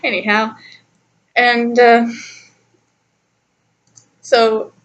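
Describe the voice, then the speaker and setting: A young adult woman telling a personal story in a casual, chatty, animated way, giggly and often interrupting herself. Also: fairly high pitch, drawn-out words, muffled recording. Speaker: storytime vlogger.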